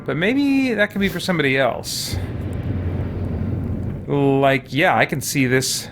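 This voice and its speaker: A man's voice: a little high, sing-song, slow. A man live-streaming a video game, chatting casually with viewers and thinking aloud in a playful, informal way. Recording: clear background noise behind the voice.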